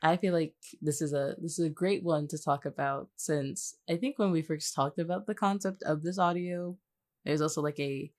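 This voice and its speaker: A woman's voice: low voice, normal speed, a little mellow. A woman chatting casually as a podcast co-host, teasing and often repeating her phrases for emphasis.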